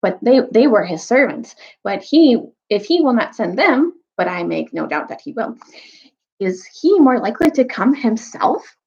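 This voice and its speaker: A woman's voice: fairly high pitch, very animated, moderate pace, a little mellow, lo-fi sound, voice pushed up. A woman voicing a loyal male badger in a storybook, earnest and insistent.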